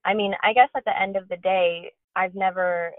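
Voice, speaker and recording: A woman's voice: medium pitch, fairly even intonation, moderate pace, dry recording. A woman co-hosting a podcast, telling a story in an earnest, emphatic way. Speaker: podcast co-host. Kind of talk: casual conversational podcast. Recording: tinny phone-like line.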